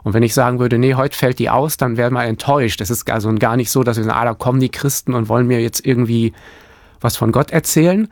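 A man's voice: mid-range voice, fast talker, a little mellow, dry recording. Guy from hamburg chatting about church work, keeps restarting sentences, dry close sound. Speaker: church planter. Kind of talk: interview answers.

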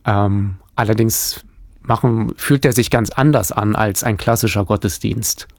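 A man's voice: fairly low pitch, fairly even intonation, a little slow, no room sound, studio quality. A man from Hamburg, a church planter, answering interview questions thoughtfully, often restarting his sentences.

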